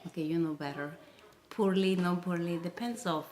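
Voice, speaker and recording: A woman's voice: on the low side, normal speed, darkish tone. A woman from Peru, Spanish her first language, chatting as an interview guest, hesitant with many restarts. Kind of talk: casual interview chat. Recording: noisy background.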